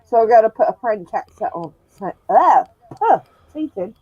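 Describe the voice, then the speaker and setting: A woman's voice: fairly high pitch, very animated, normal speed, breathy edge, no room sound. A woman hosting a sci-fi live stream, chatting casually and laughing often, in a room with a glass roof. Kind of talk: casual chat. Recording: dull lo-fi dry sound.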